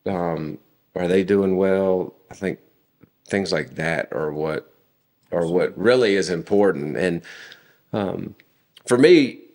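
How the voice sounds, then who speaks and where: Very deep-voiced man telling stories. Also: slow pace, long pauses. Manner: relaxed storytelling with frequent fillers. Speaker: podcast guest. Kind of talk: casual conversation.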